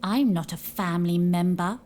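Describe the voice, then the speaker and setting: Woman acting a lowish, aggrieved companion. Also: normal speed, darkish tone, a little hiss or hum, close to an everyday voice. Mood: resentful. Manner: complaining, bitter. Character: aggrieved, put-upon English lady's companion. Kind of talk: audio drama acting.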